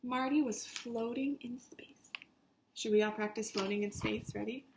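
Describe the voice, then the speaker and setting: A woman reading a children's story aloud in an animated, deliberate teacher's way, addressing her young listeners directly. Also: on the high side, vocal fry, a little hiss or hum.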